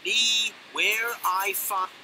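An actor playing a cheeky, self-satisfied young hero in a cartoon adventure game, flippant and theatrical. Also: male voice, high voice, steady tone, drawn-out words. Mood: cocky. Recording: game playback under clear noise.

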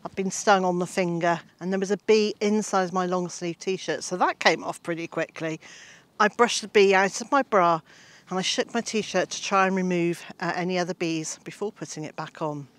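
An older woman with a fairly low voice reads a passage from her own gardening book. She tells it with lively storytelling emphasis, recorded outdoors in a shady garden facing the camera. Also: moderate pace. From Britain. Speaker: gardening author and homestead host. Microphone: camera-facing, mid-shot.

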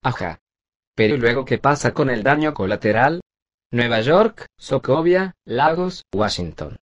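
A man's voice: mid-range voice, expressive, measured pace, no room sound, dull audio. Man playing an earnest young son, dry sound. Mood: earnest, argumentative. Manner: questioning, earnest. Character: argumentative young shop worker, earnest son. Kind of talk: amateur Spanish dub acting.

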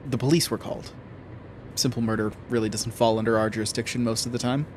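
A man's voice: mid-range voice, steady tone, quick pace, a little hiss or hum, close to an everyday voice. Male federal agent, acted, audio horror series. Matter-of-fact, guarded, medium register. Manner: dry, clipped, matter-of-fact. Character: guarded, dry federal agent of an obscure underfunded division. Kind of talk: horror audio drama acting.

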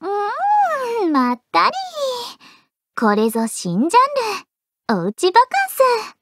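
High, bubbly anime girl. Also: huge pitch swings, a little slow, heavily acted. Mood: relaxed, sweet. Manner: playful, coy, cutesy. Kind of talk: Japanese anime mobile game character lines.

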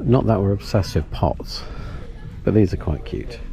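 A man's voice: fairly low pitch, normal speed, gravelly, a crowd in the background. A middle-aged British man narrating a casual food and shopping vlog in a chatty, dry, self-mocking way, filmed on a handheld camera. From United Kingdom. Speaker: vlogger. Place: restaurant, car and store. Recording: music bed under the voice.

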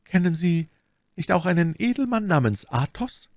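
Guy doing a young novice girl in an audiobook, earnest n jealous, high pitch, lively. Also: normal speed, dry recording. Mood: concerned. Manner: earnest, agitated, polite. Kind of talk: dramatic audiobook character voice.

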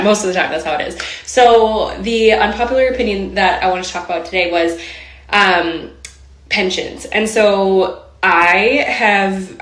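A woman's voice: medium pitch, fairly bright tone, roomy sound, poor audio. A woman in her thirties giving a casual, chatty rant in a video, swearing freely and laughing now and then. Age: 30s. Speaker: social seller in a played clip.

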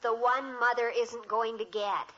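An actress playing a bossy, earnest teenage big sister in a 1950s radio sitcom. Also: female voice, a little high, steady tone, measured pace, pushed and bright. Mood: urgent, bossy. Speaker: actor in a radio family sitcom. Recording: dull old radio recording.

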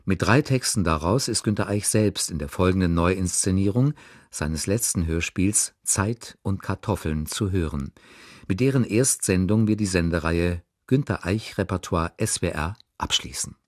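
A man giving a measured, formal scripted introduction as a radio announcer. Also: deep voice, no echo, studio quality.

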